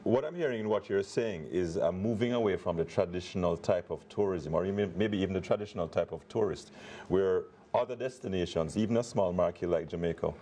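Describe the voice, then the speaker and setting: A middle-aged man anchoring a TV news program, formal and brisk as he introduces and questions a guest. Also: low-pitched, mellow, a little hiss or hum. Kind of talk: TV news presenting and interviewing. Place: TV news studio. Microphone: lapel mic.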